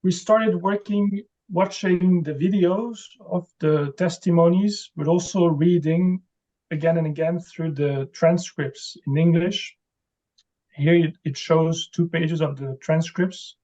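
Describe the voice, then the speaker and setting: Gravelly, mellow-voiced man presenting. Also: a little high, dull audio. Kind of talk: academic lecture.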